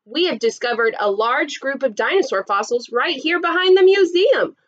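A woman's voice: sits high, expressive, moderate pace, a bit bright, highs cut off, pitched above the performer's own voice. A woman voicing a friendly male bear professor who explains dinosaurs with enthusiasm in a storybook. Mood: eager. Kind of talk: storybook character voice.

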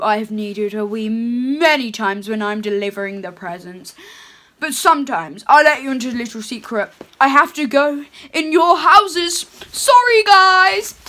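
A young woman playing a jolly, chatty Father Christmas reading a storybook aloud at home, her voice pushed lower in a playful put-on. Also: sharp tone. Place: home room with curtains.

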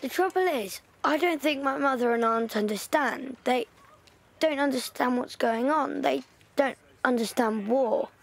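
A woman's voice: high voice, unhurried, gravelly, heavily acted. An English actress playing a young girl upset about her family and her army father, in a radio play. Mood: frustrated.

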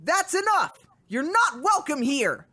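A woman's voice: sits high, sing-song, measured pace, sharp tone, dry recording, voice pushed up, full character voice. A woman voicing a vain, bossy young duck vampire with a dramatic, commanding manner.

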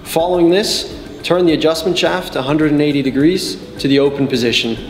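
Young man, slightly high voice, instructional narration, measured step-by-step delivery, reverberant sound, background music. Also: fairly even intonation. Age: 20s. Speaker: technical support and customer trainer.

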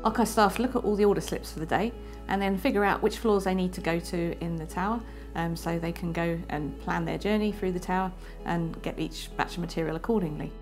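A woman's voice: lowish voice, fairly even intonation, fairly quick, few pauses, darkish tone. A woman archivist explaining her work in a matter-of-fact documentary interview. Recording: clear music underneath.

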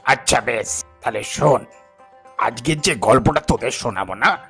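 A man voicing a kindly old grandfather who talks indulgently to children in a cartoon, in a husky, drawn-out way. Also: medium pitch, a bit bright, soft background music, exaggerated voice. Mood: indulgent, inviting.

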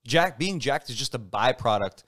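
A man's voice: medium pitch, lively intonation, normal speed, a bit bright. A young man hosting a casual podcast, talking bluntly and swearing often. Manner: blunt, swears a lot, fired-up. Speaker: podcast host.

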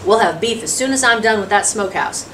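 A woman's voice: medium pitch, quick pace, a bit bright, natural voice. Storybook frontier dad, read by a woman: hospitable, practical, country dialect. Faintly noisy, distant mic. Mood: practical, friendly. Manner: folksy rural dialect, practical and welcoming. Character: hospitable homesteader father, plain-talking frontier man.

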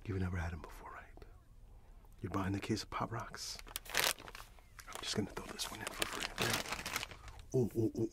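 Low, whispery man, slow ASMR shopkeeper. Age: middle-aged. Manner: soft-spoken, half-whispered, unhurried, friendly shopkeeper patter. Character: friendly candy man serving a customer. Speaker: ASMR performer playing a candy shop keeper. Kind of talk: ASMR roleplay. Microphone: close mic, facing the camera at close range.

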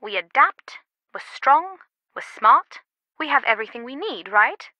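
A woman playing an earnest, slightly guarded and loyal confidante in a video game, speaking in a measured way. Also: sits high, sing-song, bright, forceful tone, no echo, full character voice. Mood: earnest, insistent. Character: earnest, secretive female phone confidante, loyal and concerned.

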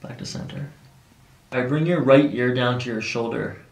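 A man's voice: medium pitch, normal speed, rough recording. A middle-aged man working as a chiropractor, coaching a patient through treatment in short, reassuring ASMR-style instructions. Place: small carpeted treatment office, indoors. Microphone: microphone on boom stand nearby.

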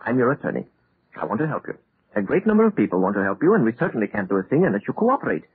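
An actor playing an insistent, reasoning attorney in a 1930s radio drama. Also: male voice, mid-range voice, very animated, rapid-fire, a little hiss or hum, natural voice. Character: brisk, measured male attorney urging cooperation. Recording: muffled, tinny old radio recording.

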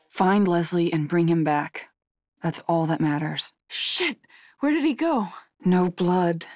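A woman's voice: on the low side, no room sound, studio quality. A young woman voicing a guarded, determined female agent in a horror video game, serious and terse. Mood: focused, puzzled, then alarmed, urgent.

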